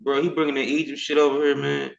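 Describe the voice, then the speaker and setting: A man's voice: on the high side, level tone, quick pace, creaky. A man hosting a livestream who talks bluntly and casually, cursing and brushing off points he finds off-topic. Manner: blunt, profane, dismissive. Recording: dull lo-fi audio.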